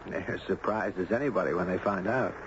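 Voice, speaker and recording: An actor playing an easygoing, puzzled dog owner who tells his story in a measured, conversational way in a 1970s radio drama. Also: sits low, close to an everyday voice. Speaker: actor narrating and playing the lead. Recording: dull, noisy old radio broadcast.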